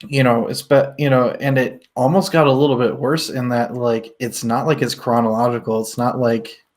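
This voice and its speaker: A man's voice: medium pitch, level tone, moderate pace, a little mellow. Rambling man discussing a book. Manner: rambling, hesitant, laughs often. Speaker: book podcast co-host.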